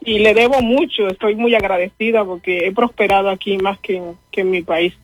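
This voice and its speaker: A woman's voice: mid-range voice, steady tone, fairly quick, lo-fi sound, soft background music. A woman in her thirties with a Colombian accent, chatting casually and politely as a caller being interviewed. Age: 30s. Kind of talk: casual chat.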